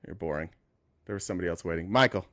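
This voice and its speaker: A man's voice: low voice, very fast, a bit bright, dry recording. A man hosting a casual live call-in stream, blunt and teasing with callers. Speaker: host.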